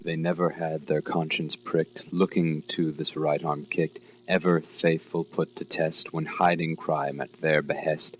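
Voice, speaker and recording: Male horror narrator, very low register, literary dramatic recitation, slight hiss. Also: flat delivery, a little slow, hardly any pauses, poor audio. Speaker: story reader. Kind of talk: scripted horror story narration.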